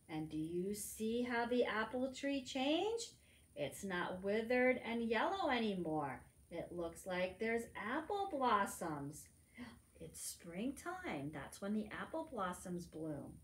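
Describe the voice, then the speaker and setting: A middle-aged woman reads a picture book aloud to preschoolers in an expressive, teacherly manner, adding simple asides. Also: fairly high pitch. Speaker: librarian storytime reader. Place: library room among bookshelves, indoors. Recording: roomy indoor echo.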